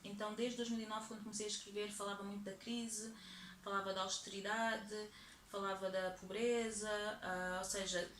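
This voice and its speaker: Thoughtful Portuguese-accented woman, even tone. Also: mid-range voice, normal speed. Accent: European Portuguese. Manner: thoughtful, steady, with frequent tag questions. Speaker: poet and activist interviewee. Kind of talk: reflective interview answers. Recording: echoey room with faint ambient noise.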